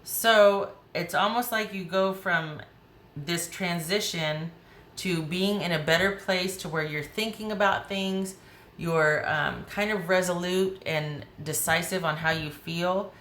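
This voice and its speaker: A woman with a hoarse voice giving a chatty, rambling tarot reading, often restarting her sentences. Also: lowish voice, takes it slowly, fairly bright tone, echoey room, a little hiss or hum. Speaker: tarot reader.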